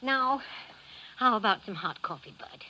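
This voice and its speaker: Animated, bright-voiced doting mother. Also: fairly high pitch, measured pace, heavily acted. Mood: coaxing. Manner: fond, coaxing, uses pet names. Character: doting, chatty, gently probing middle-aged mother. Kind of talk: 1940s radio acting. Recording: muffled, noisy 1940s radio recording.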